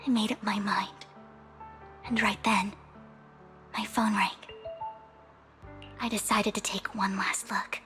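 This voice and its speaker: A woman voicing a devoted, formal young woman in a video game cutscene, speaking in a hushed, breathy way. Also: medium pitch, little pitch movement, takes it slowly, long pauses, soft background music. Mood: whispered confession. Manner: hushed, breathy confiding delivery.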